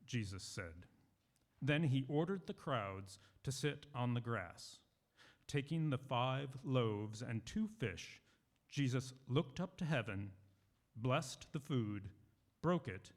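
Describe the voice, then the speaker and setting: A man reading scripture aloud at a church service, with deliberate, expressive phrasing. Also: medium pitch. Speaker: lay scripture reader.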